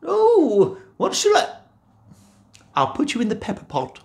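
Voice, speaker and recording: A man voicing a flustered, kindly giant's wife, in a high fluttery put-on voice. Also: wildly swooping pitch, a little slow, vocal fry, darkish tone, full character voice. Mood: dithering. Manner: fussy, dithering, talks to herself.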